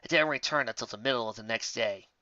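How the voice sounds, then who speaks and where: Story narrator with casual asides: bright, forward voice, animated reading, dry and slightly dull home recording. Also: mid-range voice, fast talker, dry recording. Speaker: narrator reading fan fiction aloud.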